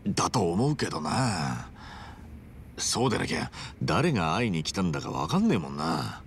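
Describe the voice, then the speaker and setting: A man's voice: low voice, very animated, moderate pace, vocal fry, a little hiss or hum, full character voice. An actor playing a brash, good-hearted middle-aged hero in a video game, blunt and colloquial in his talk. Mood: earnest, pensive. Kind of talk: Japanese video game dub acting.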